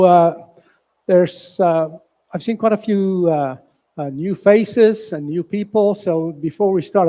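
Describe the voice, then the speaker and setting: South African man, high voice, mellow tone. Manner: halting, many filler sounds. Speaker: physician giving a health talk. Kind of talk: church health presentation. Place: church hall.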